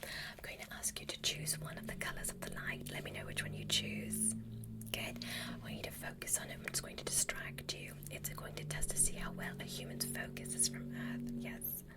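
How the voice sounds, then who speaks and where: A gentle, curious alien doctor played by a woman whispers soft instructions in an ASMR exam roleplay. The breathy delivery is soothing, with a crackly edge and a pitch that swings widely. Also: very animated, normal speed, exaggerated voice. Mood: calm, instructing.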